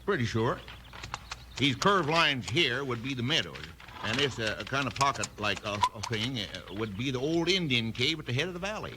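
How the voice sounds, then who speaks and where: A man's voice: mid-range voice, lively intonation, normal speed, close to an everyday voice. An actor playing a folksy, good-natured old sheriff in a radio Western, hemming and stammering as he goes. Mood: eager, muddled. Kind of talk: 1950s radio Western comedy acting. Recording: noisy, crackly old radio recording.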